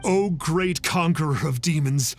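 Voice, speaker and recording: An earnest young devotee in an anime game. He speaks in a fairly high voice, formal and fervent, with soft music behind him. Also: a little slow. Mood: reverent, fervent. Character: earnest, reverent reformed male trickster, young fanboy. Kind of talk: anime video game voice acting.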